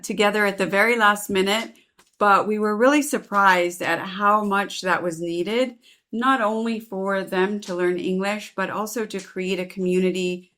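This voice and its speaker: A woman's voice: mid-range voice, fairly even intonation, moderate pace, a bit bright. A woman hosting a conversation indoors in a gallery, speaking fluently in long flowing sentences. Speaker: host, volunteer organizer. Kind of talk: interview conversation.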